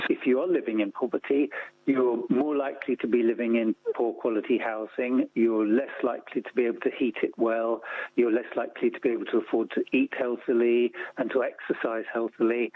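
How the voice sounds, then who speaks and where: Over a telephone, a man explains things in a measured, structured way as a radio interview guest. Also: mid-range voice, breathy edge, dry recording. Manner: measured, structured, enumerating points. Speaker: interview guest, report author and organisation founder. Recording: tinny narrow-band phone line.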